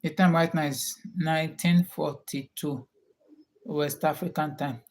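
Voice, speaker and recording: Slow-talking Nigerian man hosting a stream. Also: fairly high pitch, steady tone. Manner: unhurried, repeats questions to chat viewers. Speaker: livestream host. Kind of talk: casual chat with viewers.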